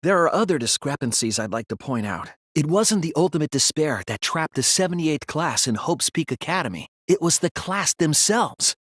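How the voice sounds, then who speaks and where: A man voicing an earnest, thoughtful teenage detective in a video game, reasoning aloud in a deliberate, resolute way. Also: on the high side, very animated, a bit bright, slightly rough, dry recording, studio quality, heavily acted. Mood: grave, reasoning. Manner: deliberate, reasoning aloud, emphatic when refuting. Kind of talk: English video game voice acting, dramatic deduction scenes.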